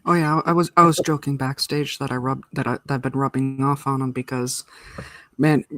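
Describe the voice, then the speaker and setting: A joking man co-hosts a casual live show at middle pitch. He stammers and repeats words as he talks, recorded dry and close. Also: little pitch movement, brisk, sharp tone, no echo. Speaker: co-host.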